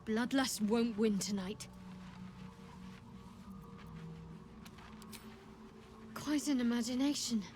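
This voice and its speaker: An actress voicing a guarded young half-elf cleric in a fantasy game, dry and soft-spoken, tender yet resolute. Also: female voice, a little high, background noise. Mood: soothing. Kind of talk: video game dialogue acting. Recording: music behind the voice.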